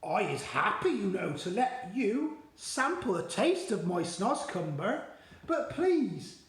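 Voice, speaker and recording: A man voicing a big kindly male giant who talks in playful jumbled grammar, coaxing and gentle. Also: high voice, big pitch swings, takes it slowly, reverberant, full character voice.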